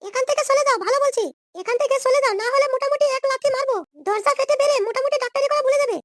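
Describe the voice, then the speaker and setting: Squeaky, brash cartoon wife. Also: female voice, very fast, heavy background noise. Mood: mocking, threatening. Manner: scolding, mocking, bossy. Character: brash, defiant young wife who schemes and threatens. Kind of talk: comedy cartoon dub.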